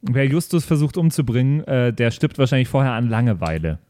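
A man's voice: medium pitch, very animated, fairly quick, noisy background. A man chatting casually on a role-playing podcast, presenting his character in a joking, self-mocking manner. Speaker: tabletop role-playing player. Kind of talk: casual chat on an actual-play podcast.

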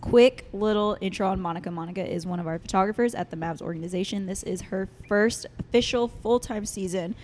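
A woman co-hosting a chat show, bubbly and quick to cheer her guest on. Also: mid-range voice, darkish tone. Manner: bubbly, cheering woo-hoos. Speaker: co-host. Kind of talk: casual podcast hosting.

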